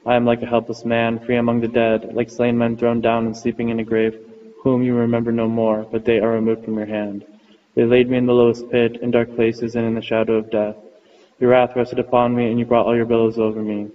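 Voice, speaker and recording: Man reading psalms in a flat monotone. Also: medium pitch, brisk. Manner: steady monotone chant-like reading. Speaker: reader of psalms. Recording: muffled recording with long reverb.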